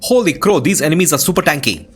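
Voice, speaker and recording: A man's voice: on the high side, expressive, fast, a bit bright, soft background music. A man hosting a gaming video with casual playthrough commentary, reacting aloud as he plays.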